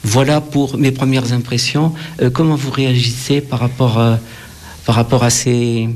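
A man hosting a literary interview, speaking in formal, measured phrases with frequent hesitations. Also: mid-range voice, fairly even intonation, darkish tone. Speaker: interviewer, literary show host.

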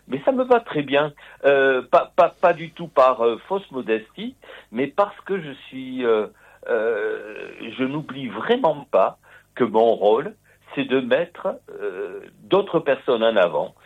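A man in his sixties answering interview questions slowly, with many fillers and repeated words. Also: medium pitch, lively intonation. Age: 60s. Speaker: literary event moderator, author. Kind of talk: interview guest. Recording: phone-like narrow sound.

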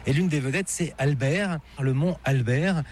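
A man's voice: a little high, measured pace, slight background noise. Playful male travel radio host. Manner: lively, playful, guiding the conversation. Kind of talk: travel radio reportage and interview. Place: outdoors in a national park.

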